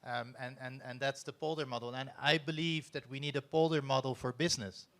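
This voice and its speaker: Dutch-accented man, measured panel talk. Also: mid-range voice, steady tone, fairly bright tone. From Netherlands. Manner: measured, explanatory, with many fillers. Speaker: panelist, former corporate CEO. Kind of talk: panel discussion answer.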